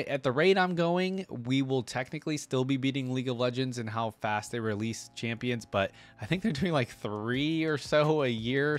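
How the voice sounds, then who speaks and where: A man hosting a reaction video, chatting casually to his viewers and thinking aloud in a loose, rambling way. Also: medium pitch. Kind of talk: casual unscripted commentary to viewers.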